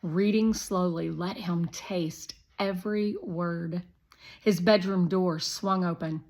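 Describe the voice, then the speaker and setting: A woman narrating a children's story aloud in an expressive, scripted read-aloud style. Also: lowish voice, takes it slowly.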